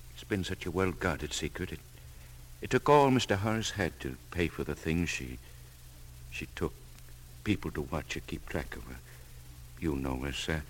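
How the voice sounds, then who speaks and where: Very deep-voiced, halting loyal servant. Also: male voice, slight background noise, low-quality recording. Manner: halting, deferential, earnest. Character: loyal, deferential longtime male servant, anxious and devoted. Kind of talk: 1940s-50s American radio drama acting.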